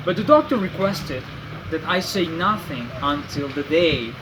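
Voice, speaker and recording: A man acting as an earnest young French nobleman in exile. His voice sits fairly high for a male, and he recounts his life formally and deliberately, as in a staged classic novel. There is faint noise on the amateur recording. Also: lively intonation. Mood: earnest, hopeful. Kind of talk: scripted dramatic monologue of a classic novel.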